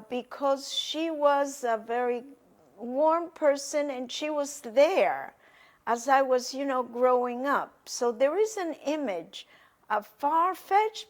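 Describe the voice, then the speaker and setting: An older woman whose first language is Polish, recalling her past slowly and hesitantly in an oral history interview. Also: high voice. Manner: slow, hesitant, reflective, many pauses and fillers. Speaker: interviewee, testimony witness. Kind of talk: recorded oral history testimony.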